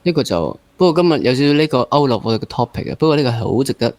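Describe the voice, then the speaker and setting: A man's voice: medium pitch, sing-song, rapid-fire, a little mellow, dry recording. Young Hong Kong Cantonese man hosting. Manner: mixes English words into speech. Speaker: podcast host. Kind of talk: conversational interview.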